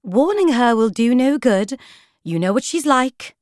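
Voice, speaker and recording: A genteel lady flower book from a children's story, voiced by a woman. She is sweet, gentle and placid, speaking high and slowly, with a dry, close sound. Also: expressive, no room sound. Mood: placid reproof. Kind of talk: children's audiobook character voice.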